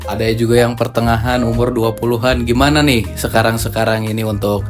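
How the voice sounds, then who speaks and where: A man's voice: medium pitch, fairly even intonation, brisk. A young man co-hosting a casual podcast, asking questions hesitantly while searching for words. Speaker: podcast co-host. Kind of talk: casual chat. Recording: music playing behind.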